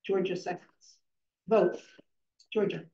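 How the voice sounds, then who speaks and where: A middle-aged woman chairing a town zoning board, reading out motions slowly and carefully in a formal tone. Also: on the low side, fairly even intonation, vocal fry, dark tone. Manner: measured, reads legal text slowly and carefully. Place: town hall meeting room. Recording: distant, dull echoey public-access meeting audio.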